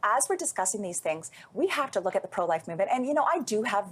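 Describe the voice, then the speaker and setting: A woman's voice: medium pitch, very animated, fast, slightly rough. A woman politician from Canada in a heated panel debate, insistent and talking over others. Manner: insistent, interrupts back.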